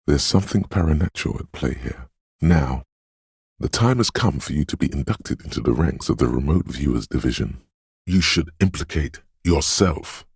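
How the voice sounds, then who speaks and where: An eerie inner voice of intuition from a video game. It is extraordinarily low and thick with rasp. The delivery is cryptic and intimate, like dramatic narration addressed straight to the listener, captured close and dry. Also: male voice, no room sound, full character voice. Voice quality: very deep, gravelly rasp. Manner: ominous, cryptic, theatrical, intimate.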